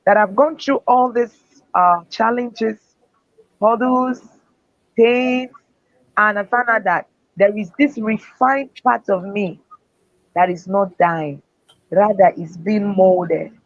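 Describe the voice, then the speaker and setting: A woman's voice: mid-range voice, slow pace, pauses often, no room sound. A woman speaker and author giving earnest, faith-driven motivational answers in an interview. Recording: dull, dry lo-fi sound.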